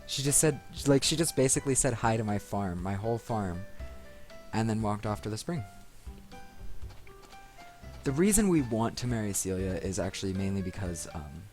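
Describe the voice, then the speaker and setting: Male let's play host, lowish voice, casual rambling delivery, clear music bed from the game. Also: expressive, moderate pace, long pauses, a little mellow. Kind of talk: casual video game commentary. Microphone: desk microphone.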